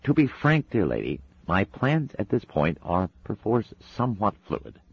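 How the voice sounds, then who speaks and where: A man's voice: fairly low pitch, huge pitch swings, measured pace, gravelly, husky, no echo, highs cut off, voice pushed up, full character voice. A man doing Aziraphale, a prim fussy angel. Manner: prim, polished, politely reasonable. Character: fussy, genteel male angel speaking through a woman.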